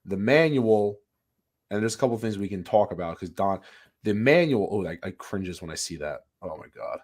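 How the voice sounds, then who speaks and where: A man with a raspy voice from a sinus infection, chatting as a podcast co-host in a rambling way with many restarts. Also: on the low side, lively intonation, moderate pace. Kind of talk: casual podcast chat.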